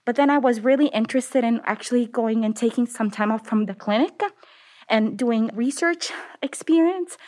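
A woman's voice: a little high, moderate pace, a little mellow. A woman in her thirties from Italy, speaking with an Italian accent, chatting as an interview guest with lots of "like" and "you know". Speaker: oncologist guest. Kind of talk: casual interview talk.

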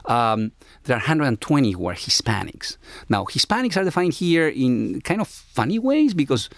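A middle-aged man from Ecuador with an Ecuadorian Spanish accent, speaking reflectively as an interviewee in a halting, searching way. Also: mid-range voice, sing-song, no echo. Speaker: interviewee, university academic.

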